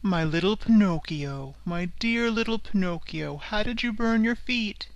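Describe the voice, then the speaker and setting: A woman's voice: medium pitch, expressive, unhurried, no room sound, dull audio. Woman voicing a kindly old father. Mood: tender. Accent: Canadian. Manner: gentle, fatherly.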